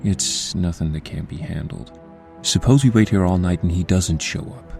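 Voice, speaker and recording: An actor playing a cocky, hot-headed district attorney in a comic-book audio drama, husky, sardonic and impatient. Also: male voice, low-pitched, moderate pace, a little mellow, faint music behind. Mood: wry, impatient.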